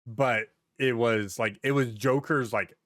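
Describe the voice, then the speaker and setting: A man's voice: mid-range voice, unhurried, a bit bright, no echo, clean studio sound. A man hosting a podcast in casual pop-culture chat, speaking in an informal, sweary, emphatic way. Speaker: podcast host.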